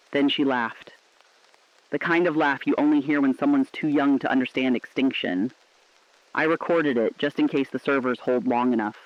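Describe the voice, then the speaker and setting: A man's voice: medium pitch, no echo, close to an everyday voice. Guy playing mournful last radio host at world's end, poetic and earnest, dry slightly dull sound. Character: mournful, defiant last radio broadcaster bearing witness. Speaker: radio broadcaster. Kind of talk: scripted dramatic radio narration.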